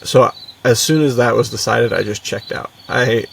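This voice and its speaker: Casual American man with a gravelly voice. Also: medium pitch, fairly even intonation, moderate pace, a bit bright, distant mic, a little hiss or hum. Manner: informal, bro-ish, frequent "dude" and "like". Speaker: podcast co-host and adventure fiction author. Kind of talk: casual podcast chat.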